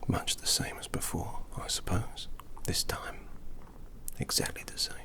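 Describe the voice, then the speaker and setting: A man's voice: unhurried, natural voice. A man whispering as a chatty, muddled Victorian graverobber in an ASMR roleplay. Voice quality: soft whisper. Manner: rambling, muddled and chatty, loses count. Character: bumbling Victorian graverobber tending a corpse.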